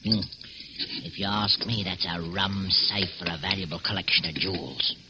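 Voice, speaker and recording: An actor playing a burglar's nervous working-class sidekick, chatty and slangy, in a radio drama. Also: male voice, sits low, moderate pace, natural voice. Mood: uneasy, chatty. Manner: colloquial, chatty, fretful. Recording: dull, hissy old radio recording.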